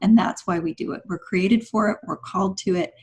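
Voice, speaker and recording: A woman's voice: medium pitch, steady tone, brisk. A woman speaking as a podcast guest, giving motivational advice in an emphatic, instructive and encouraging way.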